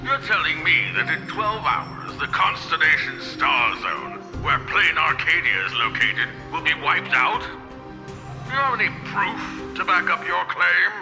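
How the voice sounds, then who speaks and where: A man's voice: a little high, big pitch swings, unhurried, music in the background, rough recording. An actor playing a cold, condescending male corporate executive in a video game. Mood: dismissive. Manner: condescending, brusque. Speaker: game character.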